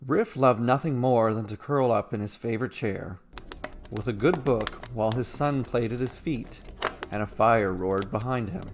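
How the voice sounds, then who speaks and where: A man reading a scripted fantasy short story as a storyteller, with dramatic, deliberate phrasing. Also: medium pitch, slight background noise.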